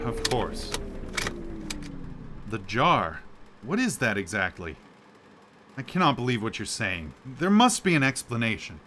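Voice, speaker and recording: Radio drama: rattled, incredulous man of learning, exclamatory, very animated, tense. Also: mid-range voice, unhurried, pauses often, slight background noise. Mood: uneasy disbelief. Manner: agitated, exclamatory. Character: frightened, stubborn scholar, incredulous and increasingly frantic.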